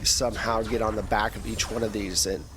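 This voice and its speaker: A man's voice: on the low side, level tone, a little fast, noisy background. A man narrating a tabletop role-playing game as game master, in a conversational, improvised way. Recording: loud music bed behind voice. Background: music.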